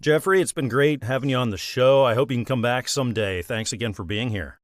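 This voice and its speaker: A man's voice: mid-range voice, fairly quick, no echo, clean studio sound. A man hosting a book interview show, polished and promotional. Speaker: host.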